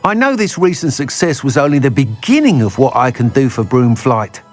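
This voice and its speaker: An earnest broom inventor acted by a man in a fantasy game. His eager voice swings very widely in pitch, with faint music behind him. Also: very animated, normal speed, close to an everyday voice. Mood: hopeful, persuasive. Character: enthusiastic male broom maker, earnest inventor. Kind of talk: video game character dialogue.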